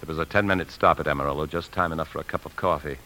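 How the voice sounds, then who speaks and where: A man's voice: very deep voice, fairly even intonation, rapid-fire, sharp tone. An American actor playing an edgy husband in a 1950s radio drama, tense and insistent, confiding when he narrates. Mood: tense recounting. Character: desperate, edgy husband and bank man narrating his flight. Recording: old 1950s radio broadcast, music behind.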